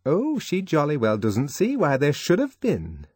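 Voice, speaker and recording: A man's voice: medium pitch, wildly swooping pitch, measured pace, darkish tone, husky, dry recording, clean studio sound, pitched above the performer's own voice, exaggerated voice. A man reading an audiobook voices a prim, disapproving young woman who scolds her friend with fussy, mock-shocked emphasis. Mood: scolding. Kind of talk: audiobook character voice.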